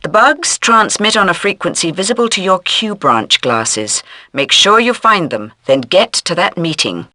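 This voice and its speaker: Bright, authoritative spy handler. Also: female voice, on the low side, very animated, normal speed, hardly any pauses, no echo, clean studio sound. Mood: stern, businesslike. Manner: crisp, formal, authoritative. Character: stern, businesslike intelligence handler directing a secret agent. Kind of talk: scripted game mission briefing.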